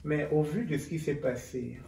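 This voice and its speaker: A woman hosting a casual interview, speaking in hesitant phrases with pauses. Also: deep voice, gravelly, warm tone. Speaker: interviewer, host. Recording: echoey room, distant mic, music underneath.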